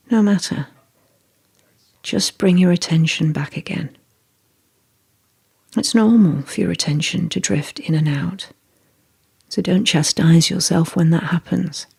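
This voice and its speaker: A meditation guide reading a scripted guided meditation in a soft, breathy, measured and deliberate way. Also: mid-range voice.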